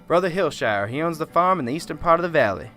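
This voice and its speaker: A man playing a soft-spoken, pious small-town reverend who rambles earnestly, in an amateur radio drama, with faint music behind. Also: a little high, lively intonation, fast. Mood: solemn. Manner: gentle, pious and wordy.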